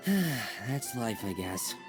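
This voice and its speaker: Casting: resigned townsperson, anime game. Low register, unhurried, glum delivery. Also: female voice, big pitch swings, music in the background, heavily acted. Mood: resigned, puzzled. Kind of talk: anime video game voice acting.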